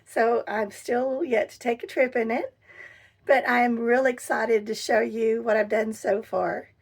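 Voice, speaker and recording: A middle-aged woman with a hoarse voice, chatting casually as she gives a tour of her camper van. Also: on the high side, fairly even intonation. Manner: chatty and enthusiastic, pausing while showing things. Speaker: vlogger. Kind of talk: casual home tour vlog. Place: inside a small camper van.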